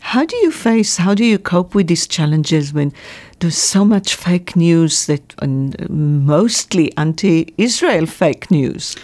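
Woman radio interviewer, slightly low voice. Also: expressive, takes it slowly, hardly any pauses, darkish tone.

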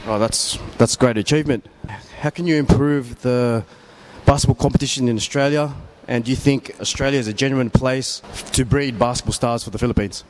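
A man's voice: mid-range voice, a little fast. A man hosting a radio sports interview, putting prepared questions to a guest. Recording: faint background noise.

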